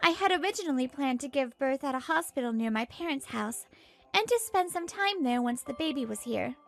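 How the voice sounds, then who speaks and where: A high-voiced, earnest wife played by a woman narrates her home life in a manga dub. She sounds fed up yet hopeful, with music running underneath. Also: lively intonation, a little fast, gravelly. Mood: resolute.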